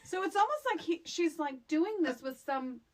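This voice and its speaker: High voiced lady on a movie podcast, super lively pitch, blunt n kinda exasperated, just chatting. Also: moderate pace. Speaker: podcast co-host. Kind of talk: casual comedic movie-discussion chat.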